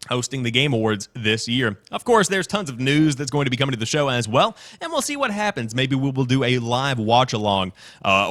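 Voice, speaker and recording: A man's voice: medium pitch, fairly bright tone. A man hosting a gaming news show, mixing read-out news with his own off-the-cuff commentary.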